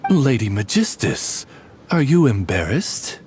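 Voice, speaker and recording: Slow, courtly raven servant. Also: male voice, mid-range voice, big pitch swings, darkish tone, a little hiss or hum. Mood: politely formal. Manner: formal, polite, measured. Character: courtly male raven familiar, formal butler-like servant. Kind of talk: English game dub acting.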